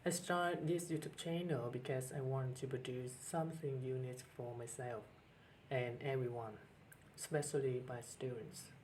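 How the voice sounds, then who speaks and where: A middle-aged man with a Vietnamese accent, speaking earnestly to camera as a teacher with many pauses and restarts. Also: mid-range voice, darkish tone, slight background noise, low-quality recording. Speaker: teacher and channel host. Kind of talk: personal talk to camera.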